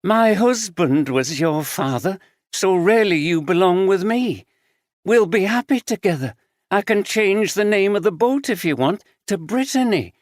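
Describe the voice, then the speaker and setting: A man voicing a disturbed middle-aged woman, slow and dreamy, then sharp and pleading. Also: sits high, animated, no echo, studio quality, pitched above the performer's own voice. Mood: eerie tender.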